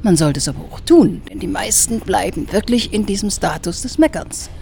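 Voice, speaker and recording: A woman's voice: mid-range voice, very animated, moderate pace, mellow, slight background noise. A woman in her seventies giving an emphatic interview statement, repeating words for stress. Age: 70s. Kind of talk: vox pop statement.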